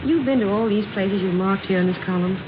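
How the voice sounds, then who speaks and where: A woman's voice: mid-range voice, fast, close to an everyday voice. An actress playing a polite, proud, guarded young woman out of work, in 1940s radio drama style. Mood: guarded, curious. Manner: polite, guarded, gently wry. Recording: muffled, noisy old radio recording.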